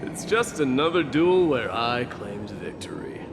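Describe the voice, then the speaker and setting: A man voicing a proud young warrior prince in a video game, haughty, sardonic and deliberate. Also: mid-range voice, sing-song, very noisy. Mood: proud, dismissive. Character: proud, gruff young warrior crown prince, mocking rival. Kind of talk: anime-style video game voice acting. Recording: echoey hall reverb, music behind.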